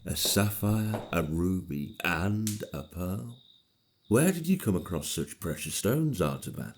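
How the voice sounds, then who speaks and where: An actor playing an ailing, sympathetic but reluctant friend in an audio drama, speaking in a measured, formal, courteous way. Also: male voice, sits low, sing-song, a little hiss or hum, heavily acted. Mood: curious, surprised.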